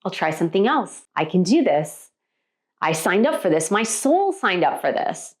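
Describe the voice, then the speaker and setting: Animated American woman teaching spirituality. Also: fairly low pitch, normal speed. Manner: emphatic, conversational, with rhetorical check-in questions. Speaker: spiritual teacher and meditation coach. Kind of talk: motivational spiritual teaching talk to viewers.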